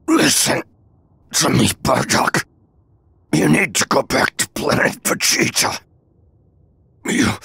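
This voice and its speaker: An actor playing a badly wounded alien warrior in an anime game, straining raspily to speak in broken, gasping phrases. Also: male voice, fairly high pitch, bright, forceful tone, dry recording, full character voice. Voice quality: raspy, strained. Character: badly wounded, loyal male alien warrior, near death. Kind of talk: dramatic dubbed anime game acting. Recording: music under the voice. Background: music.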